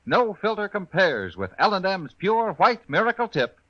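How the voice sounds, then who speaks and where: A man's voice: high voice, very animated, normal speed, highs cut off. A man delivering a punchy, emphatic 1950s radio commercial as its announcer. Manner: punchy, emphatic, singsong salesmanship. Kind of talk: 1950s radio advertising pitch.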